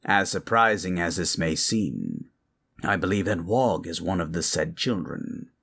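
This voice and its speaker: A man voicing a grave, learned monstrous elder in a fantasy audio roleplay, speaking in a gravelly, husky voice with a measured, formal manner. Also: low-pitched, sing-song, a bit bright, exaggerated voice. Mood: solemn, measured.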